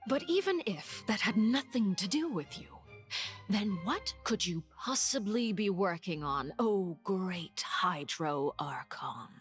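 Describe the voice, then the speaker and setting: An actress playing a coolly polite noblewoman interrogator in a game, formal and silky with veiled mockery. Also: mid-range voice. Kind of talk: anime-style game voice acting.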